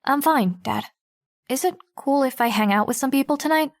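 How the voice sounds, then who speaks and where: A woman playing a guarded, observant teenage girl who narrates an audiobook in the first person, reflective and measured. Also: sits high. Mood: hesitant, reassuring. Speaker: narrator and lead character.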